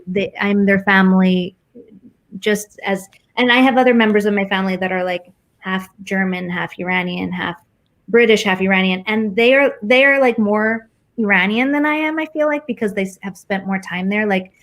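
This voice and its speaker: A woman's voice: mid-range voice, moderate pace, fairly bright tone. A woman from the United States with an American accent, talking casually and reflectively as an interview guest, informal and thinking aloud as she goes.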